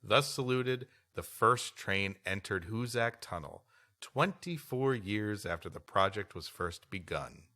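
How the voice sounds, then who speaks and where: A man's voice: lowish voice, animated, unhurried, bright, forceful tone. A man co-hosting a podcast, mixing read-aloud history with wry, rambling banter. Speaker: podcast co-host. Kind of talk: reading a history story aloud with joking banter.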